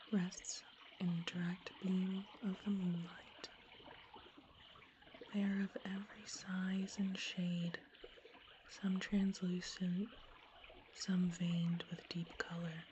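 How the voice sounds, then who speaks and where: A woman narrating a soothing ASMR sleep story in a hushed, breathy whisper, soft-spoken and unhurried. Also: lowish voice, flat delivery, slight background noise.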